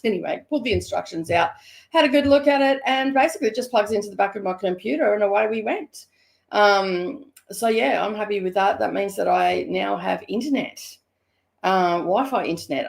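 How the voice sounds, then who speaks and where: Woman, croaky gravelly voice, casual rambling chat, home craft stream. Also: mid-range voice. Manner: rambling, chatty, many fillers. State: hoarse. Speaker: craft live-streamer host.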